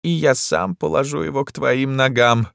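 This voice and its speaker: Theatrical, cooing high-pitched lecherous emperor. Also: male voice, gravelly, fairly bright tone, no room sound, clean studio sound. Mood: seductive. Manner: pompous, cooing, drawn-out exclamations. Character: theatrical lecherous emperor, wheedling, grandiose, gallant and menacing.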